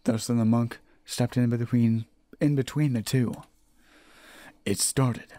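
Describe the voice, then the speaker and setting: A man's voice: mid-range voice, steady tone, takes it slowly, dark tone, no room sound. A man reading a horror visual novel aloud as a let's player, often restarting and repeating phrases as he narrates. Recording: music behind the voice. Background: game music.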